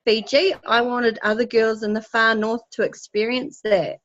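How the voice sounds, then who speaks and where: A woman from New Zealand's far north with a New Zealand accent, a netball team organiser answering interview questions in a relaxed, friendly way. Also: on the high side, normal speed, lo-fi sound. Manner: relaxed, friendly, some ums.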